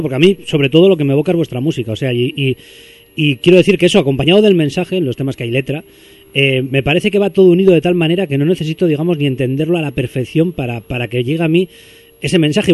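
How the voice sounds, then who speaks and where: A man from Spain hosts a radio interview, his voice a touch higher than most men's. He speaks quickly and strings his questions out at length, with plenty of fillers along the way. The studio sound is dry and close. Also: darkish tone, no echo. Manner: long, winding questions with fillers.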